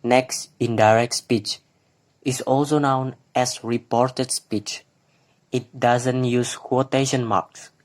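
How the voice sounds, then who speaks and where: A man's voice: mid-range voice, fairly even intonation, takes it slowly. Young Indonesian man presenting slides. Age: young adult. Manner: plain reading, with hesitant fillers when explaining. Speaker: student presenter.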